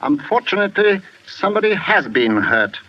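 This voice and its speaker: An actor playing a shrewd, methodical police inspector, measured and formal, in a 1940s radio mystery. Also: male voice, high voice, big pitch swings. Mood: grave, probing. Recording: muffled vintage radio recording.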